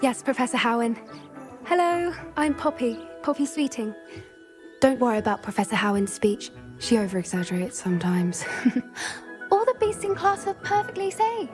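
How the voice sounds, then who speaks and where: A woman's voice: a little high, big pitch swings, normal speed. A woman voicing a friendly, giggly young student in a game cutscene. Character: cheerful young girl student. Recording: game cutscene with music behind.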